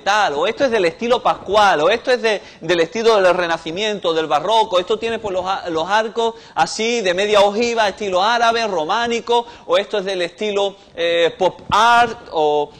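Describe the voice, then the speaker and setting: Cuban guy preaching, kinda high voice, chatty but gets loud on the big stuff. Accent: Cuban Spanish. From Cuba. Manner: conversational preaching, swelling louder on big points. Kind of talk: sermon.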